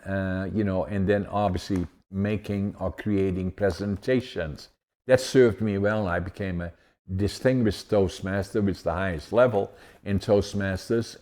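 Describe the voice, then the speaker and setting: A podcast host, a man, speaks in a fairly low register. His tone is soft and mellow, and he builds long, winding questions in a relaxed conversational style. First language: Dutch. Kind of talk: conversational interview.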